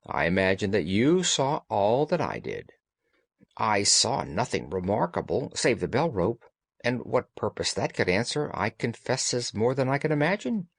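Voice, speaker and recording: A man voicing a detective's loyal, puzzled gentleman companion in an audiobook, earnest and plain-spoken. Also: lowish voice, sing-song, normal speed, vocal fry, no room sound, heavily acted. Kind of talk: audiobook character dialogue.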